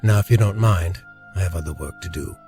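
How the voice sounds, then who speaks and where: A brusque security chief acted by a man in a science fiction audio drama. His voice is low and dark, his manner businesslike and evasive, with soft music underneath. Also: fairly quick, gravelly. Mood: dismissive, businesslike.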